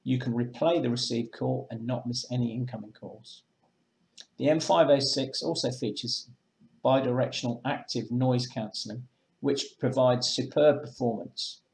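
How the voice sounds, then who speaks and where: Guy doing a product demo, matter of fact and measured, average pitched voice. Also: gravelly, a little mellow, poor audio. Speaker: product presenter for a marine chandlery. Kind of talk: product demonstration, semi-scripted explanation. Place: indoors, small room with block walls at a table.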